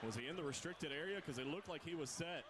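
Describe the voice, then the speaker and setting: A man calling a live college basketball game on radio, his voice climbing with each big play. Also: a little high. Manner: rapid, rising excitement on big plays. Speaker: play-by-play announcer. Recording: broadcast with loud arena noise.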